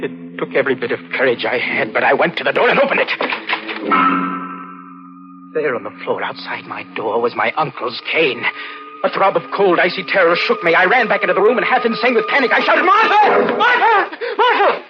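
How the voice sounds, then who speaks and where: Highly dramatic, haunted, nervous man. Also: very animated. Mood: panicked. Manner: dramatic, halting, intense. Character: guilt-ridden, nervous young man, haunted murderer. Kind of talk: 1940s radio drama acting. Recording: muffled old radio recording, loud music.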